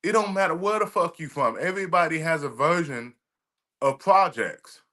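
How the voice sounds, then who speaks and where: Bright-voiced man co-hosting a podcast. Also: fairly high pitch, expressive, normal speed. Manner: emphatic, profane, storytelling. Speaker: podcast co-host. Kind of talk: casual chat.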